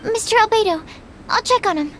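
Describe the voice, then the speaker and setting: A meek young alchemist girl with a very high, delicate pitch. She speaks politely and hesitantly, earnest and apologetic, as in a fantasy video game. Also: animated, brisk, a bit bright, slight background noise. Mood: sheepish.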